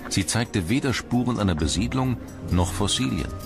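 Deep-voiced male documentary narrator over music. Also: animated, moderate pace, creaky. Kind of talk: scripted narration. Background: prominent background music.

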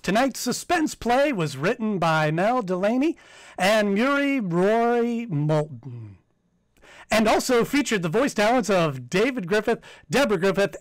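A man's voice: high voice, no room sound. An older man announcing in old-time radio style, delivering formal, emphatic sponsor reads from a home office. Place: home office, on a video call.

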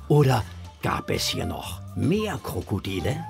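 A man narrating a children's adventure story from a script in a suspenseful, measured way. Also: mid-range voice, huge pitch swings, creaky, faint music behind.

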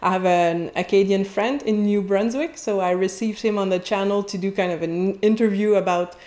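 A woman's voice: mid-range voice, moderate pace. Chatty woman with a Quebec French accent. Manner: animated, chatty, frequent restarts and laughs. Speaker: guest, French teacher. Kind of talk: casual interview chat. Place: indoor home office. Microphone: wired earphones with mic on a video call.

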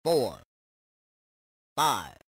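A terse male space command controller, calls out short military announcements for an arcade game. He is slow and measured, with a very dry sound. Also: medium pitch, huge pitch swings, a bit bright, husky, no room sound, heavily acted. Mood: businesslike. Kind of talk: clipped video game announcements.

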